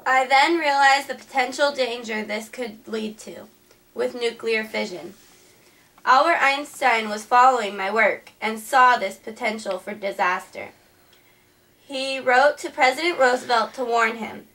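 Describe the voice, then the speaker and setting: A woman's voice: fairly high pitch, measured pace, bright, forceful tone. A woman narrating a scripted first-person history story, reading it plainly and earnestly. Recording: distant mic with faint background music.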